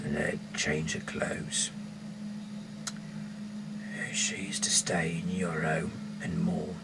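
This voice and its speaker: English bloke with a really deep voice, rambly halting read-aloud, noisy homemade audio. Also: male voice. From England. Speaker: amateur Bible reader and rock singer. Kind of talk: casual reading aloud with off-the-cuff asides. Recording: clearly noisy homemade recording.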